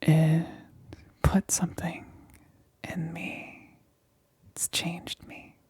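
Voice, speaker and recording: A breathy, whispering lab assistant played by a woman who has been changed by something and is eerily blissful about it. She speaks very slowly in a lowish voice, seductive and coaxing, in an intimate ASMR roleplay. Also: level tone, darkish tone, no echo, exaggerated voice. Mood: seductive, menacing.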